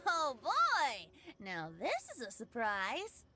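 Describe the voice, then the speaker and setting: A woman playing a sassy, friendly young woman in a video game, talking in a casual, expressive way. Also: sits high, slow, music in the background, heavily acted. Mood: cheerful. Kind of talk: animated video game voice acting.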